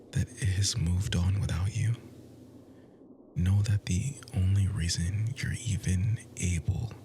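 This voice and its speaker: A man playing a bitter, obsessive immortal monster spurned by a lover, in a breathy, theatrical ASMR roleplay monologue. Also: deep voice. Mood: wounded bitterness. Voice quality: breathy, hushed, whispery. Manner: slow, menacing, theatrical, intimate.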